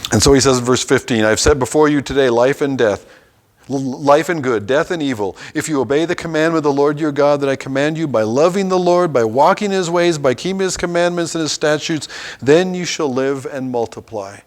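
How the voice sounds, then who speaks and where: A man's voice: fairly high pitch, brisk. A man teaching a Bible class, reading scripture passages aloud and stopping to explain them in an everyday way.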